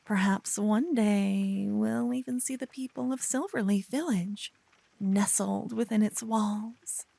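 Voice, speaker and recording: A woman playing an earnest, chatty young paladin girl in an ASMR fantasy roleplay, with soft, expressive, dramatic delivery. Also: mid-range voice, takes it slowly, creaky, no echo. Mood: confident, chatty.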